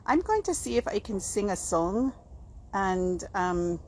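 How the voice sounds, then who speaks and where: A woman with a Scottish-sounding accent talks casually to a handheld camera at home. Her voice sits at a medium pitch, and she wanders through small self-deprecating asides. There is clear background noise behind her. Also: sing-song, a little slow, darkish tone, low-quality recording. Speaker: home vlogger. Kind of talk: casual rambling chat. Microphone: handheld camera or phone.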